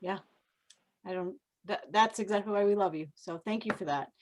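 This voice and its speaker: A woman's voice: medium pitch, moderate pace. A woman hosting a casual, conversational interview over a video call. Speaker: interviewer, conference host.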